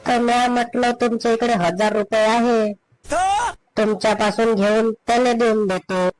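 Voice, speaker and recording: A man's voice: sits high, steady tone, moderate pace, background noise, close to an everyday voice. A man playing a shameless, touchy, meddling neighbour in a comedy cartoon, with a Vidarbha Marathi accent. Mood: pestering. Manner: meddling, pestering.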